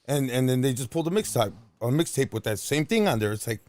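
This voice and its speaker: Fast-talking, slangy male podcast host. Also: medium pitch, very animated, darkish tone, dry recording. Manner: blunt, slangy, frequent swearing and "bro". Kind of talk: casual podcast chat.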